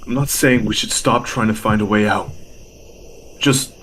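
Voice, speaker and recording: A man playing a reluctant, skeptical young teammate in a webtoon fan dub, speaking in a halting, wary way. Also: on the low side, fairly bright tone, a little hiss or hum, lightly acted. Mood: wary, hesitant. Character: wary, reluctant young male teammate who argues back.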